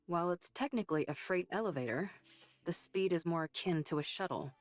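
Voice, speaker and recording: A woman plays a capable young female soldier who knows her machines and frets over her squad. Her voice sits fairly low for a woman, in anime game style. Game music runs underneath. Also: fairly quick, no echo, close to an everyday voice. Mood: focused, confident.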